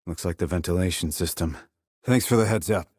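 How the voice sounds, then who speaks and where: An actor playing a terse, dry, confident military sniper in a video game, with a husky voice and clipped, controlled reports. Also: male voice, low voice, a little fast, darkish tone, no room sound. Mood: focused. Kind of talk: scripted military game dialogue.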